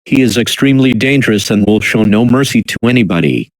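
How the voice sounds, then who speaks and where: A man reading a scripted emergency alert as an announcer, in a measured, official, impersonal manner. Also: lowish voice, animated, no echo, rough recording. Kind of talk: formal scripted emergency broadcast.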